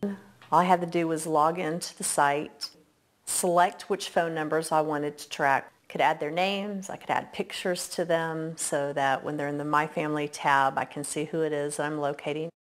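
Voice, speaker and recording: A woman with a notably deep voice speaks as a customer. She explains things step by step in a plain testimonial style. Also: steady tone, moderate pace.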